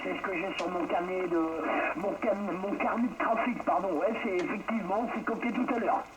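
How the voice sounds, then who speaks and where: A man from northern France heard over two-way radio, chatting casually as an operator and pausing to search for words. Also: high voice. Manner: hesitant, halting, pauses to search for words. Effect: two-way radio transmission. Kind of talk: casual radio contact chat. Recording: noisy CB radio transmission with static.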